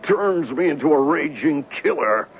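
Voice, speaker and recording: A man's voice: a little high, animated, normal speed, gravelly. An actor playing a pompous, jealous fat man in a 1970s radio drama, speaking in grandiose, blustering phrases. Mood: passionate. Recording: muffled, hissy 1970s radio broadcast recording.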